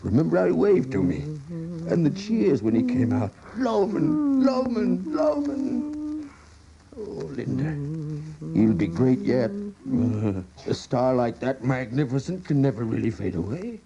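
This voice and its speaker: A man's voice: fairly high pitch, huge pitch swings, unhurried, highs cut off, over a noisy crowd, full character voice. An actor playing a weary, proud older American salesman in a stage-style radio drama, stammering and rambling with desperate emphasis. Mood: wistful, exalted. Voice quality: husky, gravelly. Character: weary ageing travelling salesman, proud, desperate, self-deluding.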